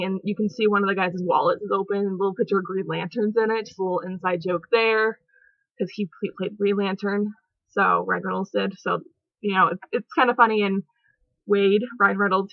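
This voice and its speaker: A woman's voice: medium pitch, no room sound. A young woman chatting casually on her home vlog, giggly and rambling with lots of fillers. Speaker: vlogger. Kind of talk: casual movie review chat. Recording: dull lo-fi home recording.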